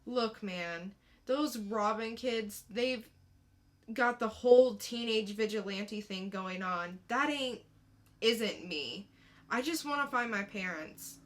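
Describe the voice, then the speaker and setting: A woman's voice: fairly high pitch, takes it slowly. A woman acting a defiant, streetwise teenage boy, guarded and slangy, in a dramatic comic-book reading. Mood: defensive, incredulous.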